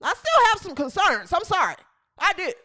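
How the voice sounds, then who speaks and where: A woman in her thirties hosting a live sports talk stream, animated and playful, with bursts of shouting. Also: very high-pitched, normal speed. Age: 30s. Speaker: podcast host.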